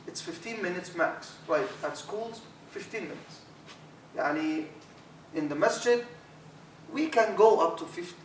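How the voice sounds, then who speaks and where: A middle-aged Arabic-accented man teaching a workshop as an imam, his voice high and animated, words often repeated. He is picked up from across an echoey lecture room. A faint hiss sits under the sound. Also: recorded from a distance. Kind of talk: workshop talk to trainees.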